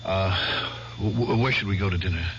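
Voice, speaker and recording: An actor in a 1970s radio drama playing a nervous young husband who stammers and dodges questions. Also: male voice, deep voice, moderate pace. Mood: evasive. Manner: evasive, stammering. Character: nervous young husband hiding his past. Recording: muffled old radio recording with loud hiss.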